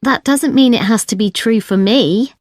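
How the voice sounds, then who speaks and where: A woman acts out a frank young female student in a scripted educational dialogue. Her voice sits a little high and sounds conversational and sure of itself, with a very dry recording. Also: moderate pace, dry recording, lightly acted. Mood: firm. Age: young adult. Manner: conversational, firm. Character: young female first-year fashion student, independent-minded, frank. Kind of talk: scripted listening-test dialogue acting.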